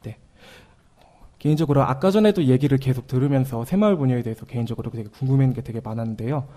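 A man in his twenties hosting a community radio interview, speaking politely with halting phrasing. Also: medium pitch, animated, mellow. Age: 20s. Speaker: radio host.

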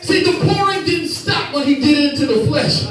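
A man's voice: very high-pitched, moderate pace, over music. A young man preaching a sermon on a church stage with a handheld mic, building passion through repeated refrains as he moves and gestures. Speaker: pastor. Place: church stage with lit screens, indoors. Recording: echoey room from a distant camera.

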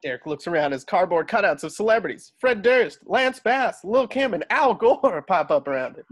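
A man's voice: high voice, big pitch swings, normal speed. A man narrating stage directions from a script at a table read over a video call.

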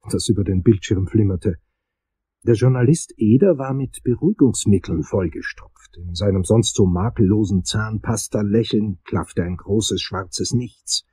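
An actor playing a wry, world-weary investigator who narrates his case in a crime audio drama, husky and dry in delivery. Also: fairly low pitch, darkish tone, dry recording.

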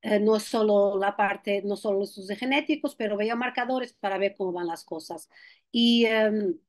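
A woman's voice: mid-range voice, moderate pace, no room sound, clean studio sound. A woman in her thirties from Portugal, a clinical nutritionist presenting a webinar over a video call, speaking with a Portuguese accent and stopping often to restart her sentences. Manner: hesitant, many restarts and fillers. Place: home room with bookshelves.

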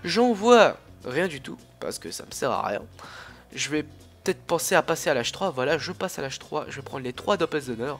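A chatty young man with a cold commentates a strategy game over a desk mic, his voice a little high. He rambles with fillers, restarts and teasing asides, coughing now and then, while faint game music plays underneath. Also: sing-song, normal speed. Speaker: gaming YouTuber. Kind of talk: casual gameplay commentary.

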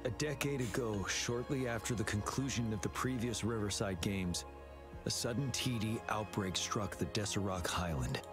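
An actor playing a stern young male commander in a game, speaking in a calm, measured way. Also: on the low side, close to an everyday voice. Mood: steady. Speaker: game character. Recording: game audio with loud music.

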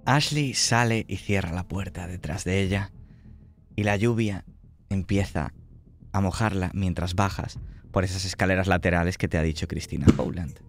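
A man's voice: low-pitched, normal speed, creaky, a little hiss or hum. A man with an accent from Spain, narrating a suspenseful tabletop roleplaying game as game master. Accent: Spanish from Spain.

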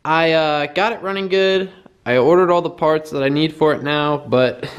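A young man giving a relaxed, rambling vlog update, filming himself with a handheld camera at arm's length outdoors and in a garage, with plenty of fillers. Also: medium pitch, clear, resonant tone. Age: young adult. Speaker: vlogger. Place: outdoors at a storage lot, in a truck, and in a home garage.

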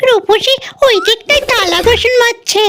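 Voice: very high-pitched, moderate pace, bright, forceful tone. A performer voicing a rude, spoiled young village daughter who tattles haughtily in a cartoon. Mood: tattling. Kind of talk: cartoon fairy-tale dialogue. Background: music.

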